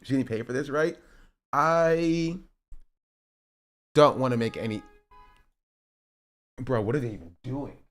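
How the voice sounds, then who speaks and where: A man's voice: medium pitch, unhurried, long pauses, faint music behind. A man in his twenties streaming, rambling through casual political commentary with sarcastic asides and self-corrections. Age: 20s.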